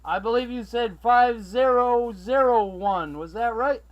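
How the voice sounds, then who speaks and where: A man from Massachusetts chatting as a CB radio operator, friendly and excitable, calling out callsigns and sign-offs. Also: very high-pitched, measured pace, highs cut off, very noisy. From Massachusetts, USA. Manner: excitable, friendly, prone to raising his voice. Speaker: CB radio operator running the channel from South Carolina.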